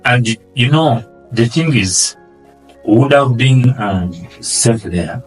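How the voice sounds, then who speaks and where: A man with a Ugandan accent, answering interview questions earnestly and often trailing off quietly. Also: medium pitch, expressive, slow pace, recorded from a distance, soft background music, rough recording. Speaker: guest, asylum seeker.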